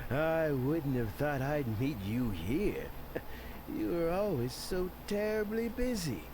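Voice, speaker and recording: Slow-talking old gentleman. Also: on the high side, very animated, background noise, full character voice. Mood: genial. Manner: courteous, unhurried, formal. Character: genial, wordy old gentleman, modest high official. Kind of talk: video game character acting.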